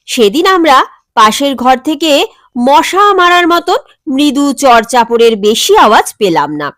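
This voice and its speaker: A woman's voice: high-pitched, sing-song, unhurried, fairly bright tone, no echo, studio quality. A woman reading a scripted comic family story as its narrator.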